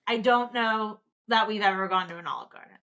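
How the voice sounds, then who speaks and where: Woman, podcast guest, loud and lively, laughs a lot, bright tone. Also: mid-range voice, a little fast. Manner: loud, lively, laughs often, talks with her hands. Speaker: podcast guest, dental finance advisor. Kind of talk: casual interview chat.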